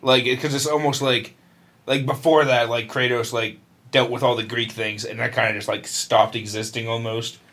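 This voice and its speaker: A man co-hosting a podcast, chatting casually in a halting way, padded with filler words. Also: mid-range voice, flat delivery, fairly bright tone. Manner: halting, filler-heavy with constant "like". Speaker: podcast co-host. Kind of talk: casual chat.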